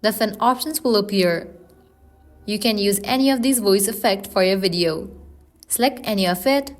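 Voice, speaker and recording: A woman's voice: mid-range voice, moderate pace. A woman narrating a scripted how-to guide, giving instructions step by step. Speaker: tutorial narrator.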